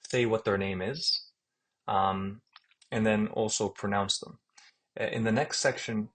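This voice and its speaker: A man with a Palestinian accent teaching an alphabet lesson, saying each letter name slowly and repeating it patiently. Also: lowish voice, fairly bright tone, breathy edge. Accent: Palestinian Arabic. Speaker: Arabic teacher. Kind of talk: screen-share alphabet lesson.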